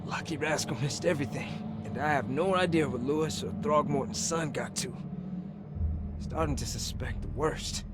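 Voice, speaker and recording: An actor playing a dazed, anxious working-class fisherman in a video game, speaking in halting, groggy, clipped phrases. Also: a little high, over loud music, close to an everyday voice. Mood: groggy, subdued. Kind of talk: dramatic video game voice acting.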